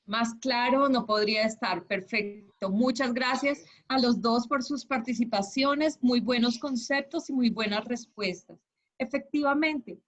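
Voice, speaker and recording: A woman with a Colombian accent lecturing an online class as its teacher, encouraging and checking understanding with questions. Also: a little high. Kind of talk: interactive lecture. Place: online video class with slides. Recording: dull, lo-fi online class audio.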